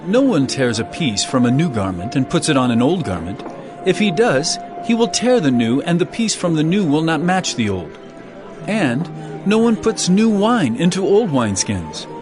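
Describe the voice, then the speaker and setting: Casting: male actor as an earnest, authoritative holy teacher, raised pitch, very expressive, emphatic, music beneath. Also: normal speed. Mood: earnest teaching. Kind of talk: dramatized scripture audio acting.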